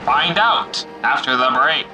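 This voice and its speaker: A man's voice: mid-range voice, animated, slow pace, sharp tone, faint music behind, rough recording. A man narrating an audio drama in a dramatic, scripted style with theatrical urgency.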